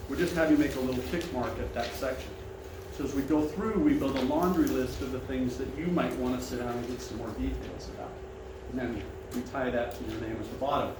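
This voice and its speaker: A man with a big, carrying voice giving a friendly, conversational seminar presentation to a seated audience in a restaurant dining room. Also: mid-range voice. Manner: friendly, conversational, invites questions. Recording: echoey room, mic far off, noisy.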